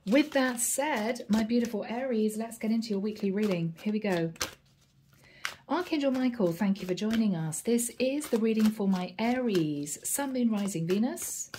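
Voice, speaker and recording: A woman reading tarot cards at home and talking casually to her viewers, her voice a little hoarse from a long day. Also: fairly high pitch, animated, normal speed, a little hiss or hum. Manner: chatty, warm address to viewers, frequent "guys" and "okay". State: slightly hoarse, losing her voice. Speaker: tarot reader. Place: indoor home room, table with plants.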